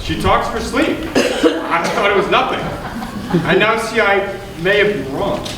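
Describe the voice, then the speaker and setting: A naive, lovestruck husband acted by a teenage boy speaks in a high voice. It is student stage comedy, captured from far off with heavy echo and loud noise. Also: expressive, a little slow. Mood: naive, sheepish. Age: teens. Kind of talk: student stage acting in a one-act comedy. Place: school stage, recorded from the audience. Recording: distant, very noisy, echoey stage recording.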